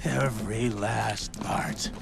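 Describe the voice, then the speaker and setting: A menacing, bitter villain with a gravelly, fairly low voice. He speaks emphatically in video game style, with noise behind him. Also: fairly even intonation, slow, heavily acted. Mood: bitter, vehement. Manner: emphatic, vengeful.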